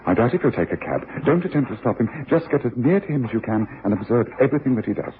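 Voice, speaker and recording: An actor playing a shrewd, secretive British gentleman detective with a brisk, authoritative manner in old radio drama style. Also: medium pitch, sing-song, natural voice. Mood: brisk, commanding. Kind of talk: 1950s-60s radio drama acting. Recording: muffled, noisy old radio recording.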